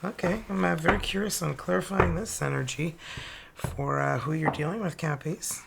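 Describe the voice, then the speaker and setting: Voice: medium pitch, steady tone, moderate pace, vocal fry, very noisy. A tarot reader from northern Ontario with a Franco-Ontarian accent, a native French speaker, chatting casually with laughing, cheeky asides while reading cards. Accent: Franco-Ontarian French-Canadian. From northern Ontario, Canada.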